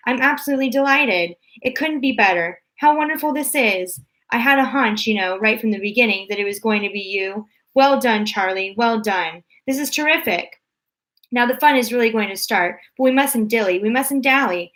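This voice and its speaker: A woman voicing an excitable, eccentric grown man in a storybook reading, talking in bouncy, grand exclamations. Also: a little high, fairly quick, few pauses, lightly acted, low-quality recording. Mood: delighted.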